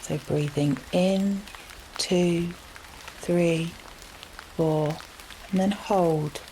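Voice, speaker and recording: A woman leading a guided relaxation meditation in a gentle, soothing manner, leaving long unhurried pauses between phrases. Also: lowish voice, slight background noise, poor audio. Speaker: yoga nidra meditation guide. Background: steady rain sounds.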